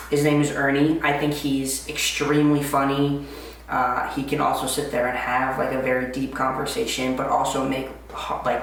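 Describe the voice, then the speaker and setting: A man's voice: medium pitch, creaky, a bit bright, a little hiss or hum. A man of twenty-five, hosting a podcast in an upbeat, chatty way with many "um" fillers. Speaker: podcast host. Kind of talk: casual chat. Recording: very echoey room, far from the mic.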